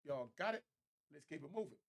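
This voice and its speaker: Middle-aged man, slightly high-pitched, gravelly creak, casual host commentary, street slang, conversational explaining. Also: expressive, a little fast.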